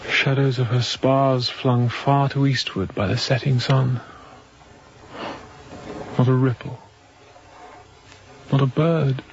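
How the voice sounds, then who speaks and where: A young ship's captain in a radio play, slow and deliberate. He sounds inward and unsure of himself, with a mid-pitched voice. The recording is a little dull and lo-fi. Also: fairly even intonation, slight background noise. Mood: brooding, hushed. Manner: measured, deliberate, reflective. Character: young, newly appointed sea captain, introspective and self-doubting. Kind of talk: radio drama acting with hushed interior monologue.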